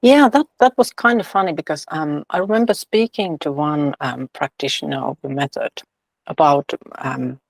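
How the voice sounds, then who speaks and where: Gravelly-voiced woman, dry sound. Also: low-pitched, moderate pace, no room sound. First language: Finnish. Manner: thoughtful, hesitant with fillers. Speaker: guest, releasing facilitator and author. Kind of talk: casual interview talk.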